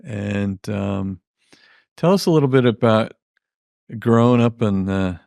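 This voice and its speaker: A middle-aged man hosting a casual interview, speaking in halting, thoughtful phrases that trail off. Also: fairly low pitch, expressive, creaky, darkish tone, dry recording. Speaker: podcast host.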